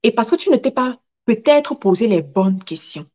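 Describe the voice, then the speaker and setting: A woman's voice: on the high side, animated, moderate pace, darkish tone, dull audio. A woman giving a motivational talk in an emphatic manner, repeating phrases for effect and drawing on personal stories. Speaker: motivational speaker and businesswoman.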